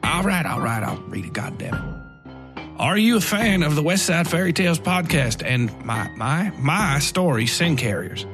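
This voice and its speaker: A man hosting a podcast, making an informal promotional appeal in a gruff, offhand, mock-reluctant way. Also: on the high side, very animated, measured pace. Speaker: podcast author and host. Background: music.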